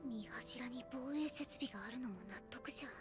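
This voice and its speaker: A woman's voice: high-pitched, moderate pace, close to an everyday voice. A woman voicing a haughty, feisty girl with archaic old-timer speech for an anime game, over music. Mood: puzzled. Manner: imperious, archaic elderly-style speech. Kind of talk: Japanese anime game voice acting. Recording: music behind the voice.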